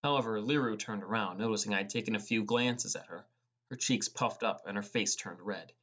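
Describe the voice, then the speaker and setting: A man's voice: on the low side, fairly quick, fairly bright tone. A man reading first-person fantasy narration as an audiobook narrator. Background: music.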